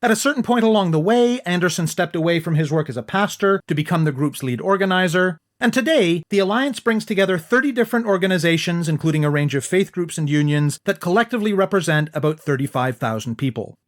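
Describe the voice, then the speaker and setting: A man hosting a radio show, reading a scripted introduction in a measured, clear way. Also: high voice, expressive. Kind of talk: scripted narration.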